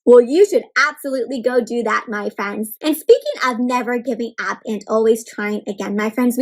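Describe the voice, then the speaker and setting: A young woman with a high voice reads a picture book aloud to children and talks with them as she goes. Her delivery is animated and encouraging, and she speaks directly to her young listeners. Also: poor audio. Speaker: children's storybook reader and host. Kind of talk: interactive read-aloud for kids.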